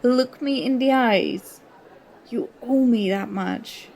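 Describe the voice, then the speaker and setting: Actress playing an indignant, slow-talking young college woman. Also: on the high side, a little mellow, rough recording. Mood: accusing, hurt. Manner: confrontational, sarcastic, sweary. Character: indignant young woman student, sarcastic former school enemy. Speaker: ASMR roleplay performer. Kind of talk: ASMR roleplay acting, one side of a dialogue.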